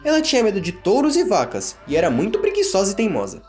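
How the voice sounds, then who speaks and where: A man's voice: sits high, huge pitch swings, fast, over music. A man with a Brazilian accent narrating a scripted children's story.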